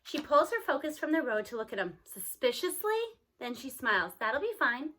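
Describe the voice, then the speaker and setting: A woman reading a storybook aloud as narrator, expressively, with an animated manner for young listeners. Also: a little high, a little fast. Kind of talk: expressive storybook read-aloud with character dialogue.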